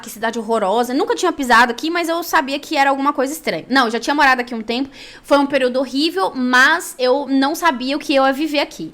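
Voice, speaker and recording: High-voiced Brazilian woman telling her story. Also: a bit bright. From São Paulo, Brazil. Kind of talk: personal storytelling with Bible reading.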